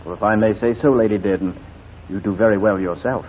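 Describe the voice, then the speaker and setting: Fast-talking husky gentleman, 1940s radio. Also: lowish voice. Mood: wry. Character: clever, intense titled gentleman, wrongly suspected, coolly persuasive. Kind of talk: 1940s radio drama acting. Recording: thin, phone-like 1940s radio recording.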